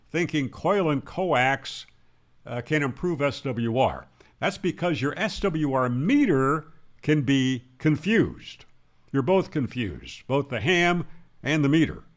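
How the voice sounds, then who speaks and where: Slow, animated man explaining technical material. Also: on the high side. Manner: didactic, emphatic, poses questions then answers them. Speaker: ham radio instructor and presenter. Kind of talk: explanatory tutorial narration.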